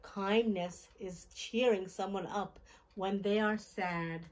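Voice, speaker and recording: A middle-aged woman hosting a children's storytime, reading a picture book aloud in simple, deliberate phrases and putting questions to her young listeners. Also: medium pitch, fairly even intonation. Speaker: children's storytime host and reader. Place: small decorated indoor room.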